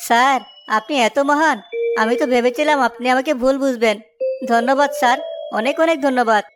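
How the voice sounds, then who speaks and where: Woman as humble male shopkeeper, honest and meek, high voice, cartoon acting, music behind. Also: fairly even intonation, brisk, natural voice. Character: humble, honest, forgiving young male samosa seller.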